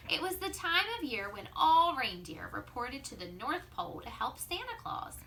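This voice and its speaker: A woman reading a children's story aloud like a teacher, expressively, drawing out words and dropping into hushed asides. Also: high voice, sing-song, normal speed, gravelly, slight background noise, low-quality recording.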